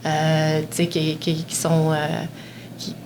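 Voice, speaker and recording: A woman with a Quebec French accent, speaking haltingly as a panel guest with many fillers and repeats. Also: low-pitched, completely flat delivery, a little mellow. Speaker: panel guest, community relations director. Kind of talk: conversational interview. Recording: noisy room recording.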